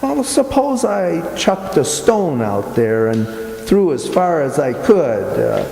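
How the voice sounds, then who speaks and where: Male actor, musing uneasy man, radio play, halting, slow, mellow, reverberant hall. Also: a little high, very animated, creaky, full character voice. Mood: musing, playful. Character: uneasy, imaginative man sightseeing at dusk with his sweetheart. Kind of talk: amateur radio play acting. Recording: echoey hall with long reverb.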